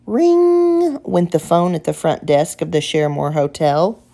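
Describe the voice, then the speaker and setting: A woman reading a children's picture book aloud as expressive, scripted narration. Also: lowish voice, a little slow, vocal fry. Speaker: storybook reader.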